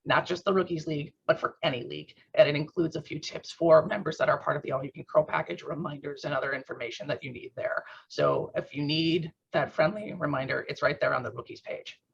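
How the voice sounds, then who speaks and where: A man explaining club updates in an online meeting, talking informally with lots of fillers, his voice rough and strained after a workout. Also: fairly high pitch, fairly even intonation, fairly quick, hardly any pauses, a little hiss or hum. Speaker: club board secretary. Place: at home on a video call. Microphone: computer mic on a video call. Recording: muffled video call audio.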